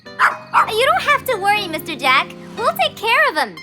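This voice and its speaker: A bold little cartoon boy with a very high voice. He is bossy and excitable, swinging wildly in pitch as he pleads and exclaims. Music plays behind him. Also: normal speed. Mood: confident, bossy. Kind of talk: children's cartoon voice acting.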